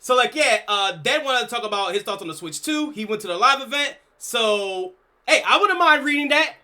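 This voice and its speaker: A young man streaming, reacting casually to a video, excitable and swearing freely. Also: very high voice, normal speed. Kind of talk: live reaction stream chat.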